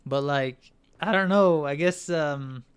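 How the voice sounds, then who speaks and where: A young man born in Canada and raised in Virginia, guest on a casual podcast, easygoing and quick to laugh, giving short relaxed answers. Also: a little high, smooth. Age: young adult. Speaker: guest, student.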